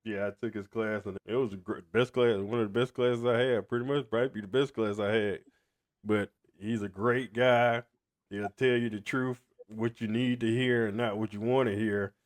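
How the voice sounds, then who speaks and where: A man hosting a podcast interview in a relaxed, casual way, laughing often. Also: lowish voice, moderate pace. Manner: laid-back, laughs often, says y'all. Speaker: podcast host.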